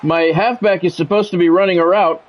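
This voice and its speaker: A man's voice: high-pitched, steady tone, brisk, slight background noise. A man streaming a sports video game, switching between play-by-play commentary and casual chat. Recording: dull lo-fi audio, music behind.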